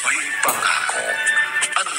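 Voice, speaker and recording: A man with a Filipino accent giving a dramatic scripted announcement as a radio drama announcer. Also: slow pace. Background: loud theme music.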